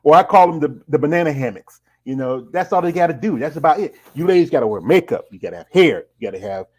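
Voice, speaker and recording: Middle-aged male host, rambling, full of "you know" fillers, slightly higher voice. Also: animated, quick pace, darkish tone. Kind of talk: casual live-stream interview.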